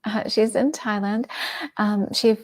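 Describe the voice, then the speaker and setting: A middle-aged woman hosting a shopping livestream, chatting enthusiastically and dropping into soft asides. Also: medium pitch, steady tone, slow. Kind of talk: casual product presentation.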